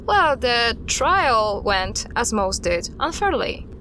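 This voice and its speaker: A woman playing an earnest, guarded expert recounting dark history in an audio drama styled as a recorded interview, with a measured, serious delivery. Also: fairly high pitch, big pitch swings, a little hiss or hum, heavily acted. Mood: grave, measured.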